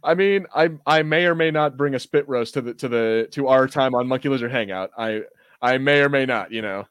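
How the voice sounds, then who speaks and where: Male podcast host, mid-pitched, rambling, enthusiastic, joking, casual fan chat, dry recording. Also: brisk, fairly bright tone, clean tone, no room sound.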